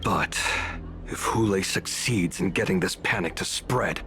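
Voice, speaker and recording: A man's voice: low voice, unhurried, music in the background. A man voicing a grave, wounded warrior in a video game, speaking in a labored way. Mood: grave warning. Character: grave, wounded male warrior reporting to his general.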